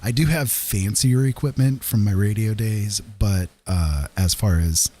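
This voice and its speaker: Deep, husky, mellow-voiced man chatting softly. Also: a little slow. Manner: soft-spoken, holding his voice down so as not to wake someone. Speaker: livestreaming digital artist, former radio talk show host. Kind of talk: casual chat with stream viewers. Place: room at home. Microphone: podcast microphone through a mixer, at a desk.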